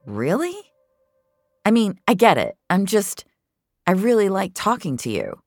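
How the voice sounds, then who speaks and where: Audio drama role, played by a woman: blunt young online gamer, emotional, slangy, pitched up, dry sound. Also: measured pace, dry recording, soft background music. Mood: hesitant, pleading. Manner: slangy, exclamatory, expressive.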